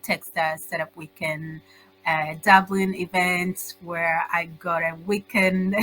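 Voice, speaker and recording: A middle-aged woman with a Tanzanian accent giving informal, halting answers as an interview guest. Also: on the low side, a bit bright, low-quality recording. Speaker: guest, social entrepreneur.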